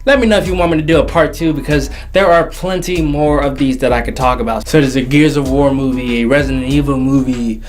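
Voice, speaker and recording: A man's voice: mid-range voice, steady tone, brisk, few pauses. A man hosting a casual, jokey video countdown, speaking emphatically and repeating phrases for effect. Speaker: host of a video game movie countdown show. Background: faint background music.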